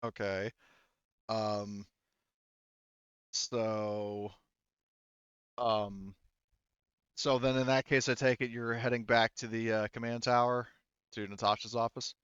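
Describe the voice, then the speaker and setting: A man's voice: mid-range voice, steady tone, slow, long pauses, a bit bright, clean tone, no room sound, highs cut off. A man running a tabletop game as game master, talking casually and often rephrasing himself as he goes.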